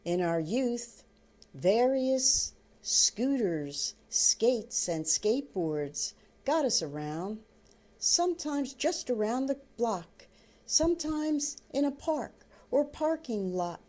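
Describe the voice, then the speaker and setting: An older woman reading her own book aloud as storybook narration, in deliberate, halting phrases. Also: a little high, sing-song, warm tone.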